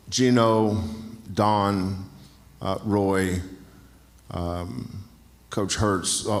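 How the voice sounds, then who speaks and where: A man in his sixties from North Carolina, a basketball coach, telling folksy stories in an onstage interview and often restarting phrases. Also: on the low side. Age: 60s. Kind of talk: onstage interview at an awards banquet.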